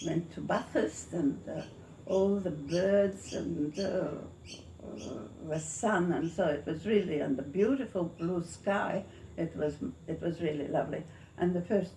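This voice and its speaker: A woman in her eighties with a Latvian accent, recalling her life in an interview, haltingly and searching for words. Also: on the low side, warm tone. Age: 80s. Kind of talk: oral history reminiscence. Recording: echoey room with faint noise.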